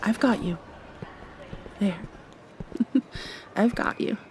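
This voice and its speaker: A woman playing a shy, flustered young vampire girl in love in an intimate ASMR roleplay, speaking haltingly and often dropping toward a whisper. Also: mid-range voice, a little hiss or hum. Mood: flustered, affectionate. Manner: soft, halting, breathy whispers and nervous laughs.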